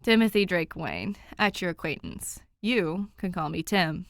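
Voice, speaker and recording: A woman plays a dry, grumbling young man short on sleep, in a story podcast. He is deadpan and mock-formal, in a dry recording. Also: fairly low pitch, unhurried, no echo. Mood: teasing.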